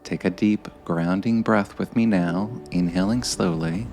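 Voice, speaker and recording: A man narrating a scripted guided meditation in a gentle, measured way, with frequent pauses. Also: sits low, faint music behind.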